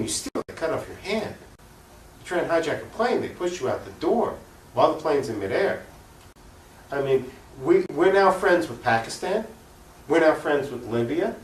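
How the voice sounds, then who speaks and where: A man speaking off the cuff as an activist interview guest, opinionated and emphatic, often posing rhetorical questions. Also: on the high side. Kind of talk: unscripted political argument in an interview. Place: room recording for a cable access show. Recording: echoey room, distant mic, faint noise.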